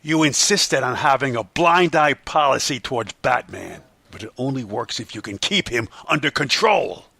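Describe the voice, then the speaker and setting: A man playing an irate, scornful city mayor in a superhero fan dub, berating people in a rough, forceful voice. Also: mid-range voice, animated, moderate pace, few pauses, dry recording, full character voice. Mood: angry, scornful.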